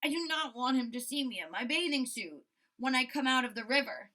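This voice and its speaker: A woman's voice: high voice, animated, brisk, bright, forceful tone. A woman voicing a self-conscious, grumbling toad in a storybook read-aloud, with a glum, matter-of-fact manner. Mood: anxious, bossy.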